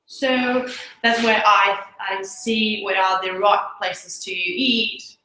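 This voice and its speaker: A woman's voice: mid-range voice, fairly even intonation, takes it slowly, pushed and bright. A woman presenting her company to a small group in a casual, conversational way, often restarting her sentences. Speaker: presenter of a tourism startup. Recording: echoey room with faint noise.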